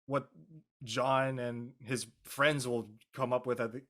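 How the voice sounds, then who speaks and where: A man, an actor on a live show, talking casually about his character and haltingly searching for his words. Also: mid-range voice, little pitch movement, fairly bright tone. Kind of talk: introducing his character, casual panel talk.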